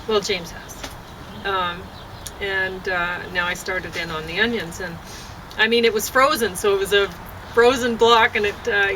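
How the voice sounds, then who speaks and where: A woman chatting casually and haltingly, thinking aloud as she goes and often breaking off to rephrase. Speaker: vlogger and amateur poet. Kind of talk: casual rambling chat to camera. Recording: noisy, distant mic, traffic-like rumble.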